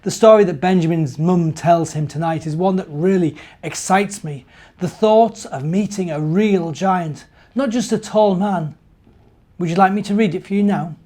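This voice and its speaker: A man's voice: high voice, normal speed, warm tone. A man speaking as a minister at story time, reading and chatting simply to young children. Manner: asks the young listeners questions. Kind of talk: children's story time, narration and gentle talk.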